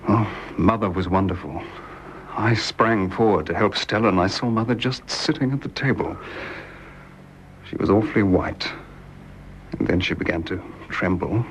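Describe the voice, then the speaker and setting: An actor playing a shaken, restrained young gentleman in a period radio drama. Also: sits low, normal speed, long pauses, slight background noise, poor audio. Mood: shaken, grieving.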